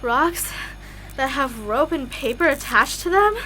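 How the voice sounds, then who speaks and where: A sceptical young woman wanderer played by an actress, high-voiced and lively in pitch. She is dry and suspicious, the sensible one in a radio drama, with faint noise behind her. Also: unhurried, full character voice, low-quality recording. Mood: anxious. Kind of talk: audio drama acting.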